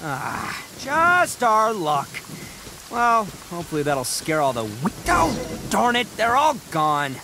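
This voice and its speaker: A brash young male oni for a video game, pitched high and very animated. He brags in slangy, good-natured bursts. There is clear ambient noise behind him. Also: slow pace, full character voice. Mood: excitable. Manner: boisterous, cocky, slangy bragging.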